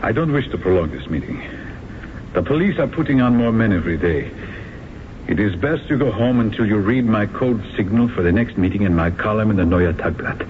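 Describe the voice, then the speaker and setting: A man's voice: fairly low pitch, animated, fairly quick, natural voice. Man playing a cold terrorist ringleader. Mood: commanding. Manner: smooth, commanding, softly menacing. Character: suave secret society leader, cold and manipulative. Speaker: villain. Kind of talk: 1970s radio drama acting. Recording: tinny lo-fi radio broadcast with hiss.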